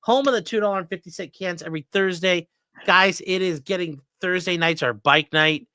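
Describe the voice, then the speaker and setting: Bright, blunt, joking livestream host. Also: male voice, high voice, a little fast, smooth, no echo, clean studio sound. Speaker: livestream host, defense attorney. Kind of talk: casual livestream commentary.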